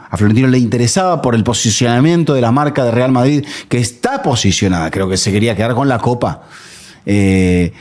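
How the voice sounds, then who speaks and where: Soccer show co-host guy, super animated up and down voice, keeps asking questions. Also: medium pitch. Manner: lively, questioning, steers the topic. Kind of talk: casual football discussion.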